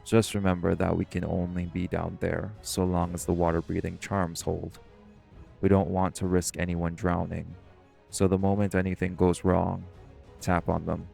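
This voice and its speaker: A man's voice: deep voice, level tone, normal speed, gravelly, a little mellow, soft background music. A man playing a stern, protective shifter who leads a dive in an audio roleplay, speaking seriously and cautiously. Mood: serious, cautioning. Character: stern, protective male marine shifter, bossy diving leader. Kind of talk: scripted audio roleplay acting.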